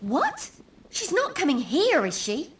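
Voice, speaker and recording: An actress playing a fierce, jealous and scornful peasant mistress in a video game. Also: female voice, high voice, huge pitch swings, slow, a little hiss or hum, exaggerated voice. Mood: indignant. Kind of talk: video game character acting. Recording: loud music behind.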